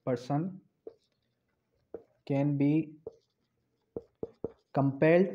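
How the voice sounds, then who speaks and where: A man's voice: a little high, darkish tone. A man in his twenties with a Pakistani accent gives an explanatory law lesson, posing questions and answering them himself. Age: 20s. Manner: didactic, poses questions and answers them, repeats key points. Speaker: law lecturer. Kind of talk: explanatory lesson at a whiteboard, switching into English legal terms. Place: small indoor room with whiteboard. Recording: dull, lo-fi sound.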